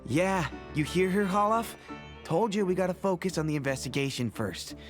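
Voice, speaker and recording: An actor playing an easygoing male mercenary in a video game, talking in a relaxed, informal, amiable way. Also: high voice, normal speed, soft background music. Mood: amused.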